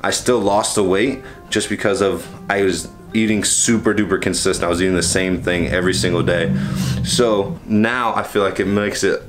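A young man chatting casually through a cooking how-to, rambling and correcting himself as he films with a handheld camera in a small home kitchen. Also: low-pitched. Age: young adult. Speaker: vlogger. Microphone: handheld camera at arm's length.

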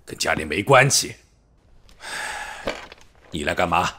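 A man's voice: low voice, animated, unhurried, pushed and bright, slight background noise, heavily acted. An actor playing a stern, grumbling middle-aged policeman father in an audio drama. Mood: stern, irritated. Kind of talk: Chinese audio drama acting.

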